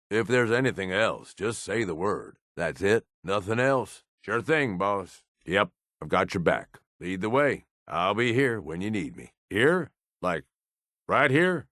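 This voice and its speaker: An actor playing a gruff, cynical wasteland raider in a video game, a blunt, streetwise henchman with an American accent and coarse, slangy talk. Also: sits low, fairly bright tone, no echo, clean studio sound, full character voice. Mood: casual, obliging. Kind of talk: video game companion voice lines.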